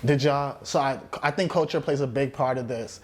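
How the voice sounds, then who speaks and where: A man's voice: mid-range voice, normal speed. A man hosting a casual group discussion, asking informal, loosely phrased questions. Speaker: podcast host.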